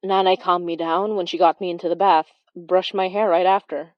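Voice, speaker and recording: A woman's voice: lowish voice, steady tone, normal speed, dry recording, muffled recording, close to an everyday voice. A woman playing a wry young woman who recounts a childhood monster chase in a horror audio drama. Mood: wry, reflective. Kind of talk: first-person horror storytelling in audio drama.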